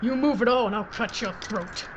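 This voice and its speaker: An actress playing a blunt, folksy Old West sheriff with an in an audio drama. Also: female voice, on the high side, normal speed, natural voice. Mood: commanding, scornful. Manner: plain-spoken, hard, folksy. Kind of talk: Western audio drama acting. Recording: muffled lo-fi with clear noise.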